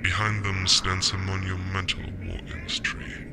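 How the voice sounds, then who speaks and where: Deep, gravelly, grave spymaster. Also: male voice, completely flat delivery, noisy background. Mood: ominous. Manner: measured, deliberate, ominous. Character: grave, calculating spymaster of a galactic empire. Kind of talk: science fiction audio drama acting. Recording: music behind the voice.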